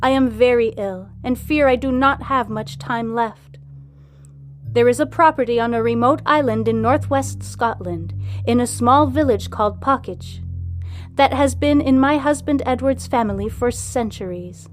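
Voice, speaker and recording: A narrator, a woman, plays an ailing woman of formal, earnest words, writing her regretful farewell in a storybook. The voice sits fairly high over faint music. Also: normal speed, close to an everyday voice. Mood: urgent, regretful.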